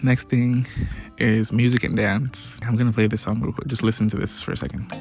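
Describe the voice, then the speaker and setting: Low, flat-voiced young man. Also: fairly quick, over loud music. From Ghana. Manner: relaxed, chatty, with many restarts and fillers. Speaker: vlogger. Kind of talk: casual talk to camera.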